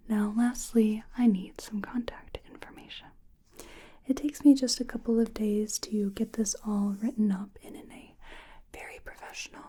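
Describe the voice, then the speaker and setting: A woman whispering gently and slowly in an ASMR roleplay, calmly listing facial measurements as she takes them. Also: medium pitch, slightly rough. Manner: slow, gentle, soft-spoken whispering. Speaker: ASMR artist.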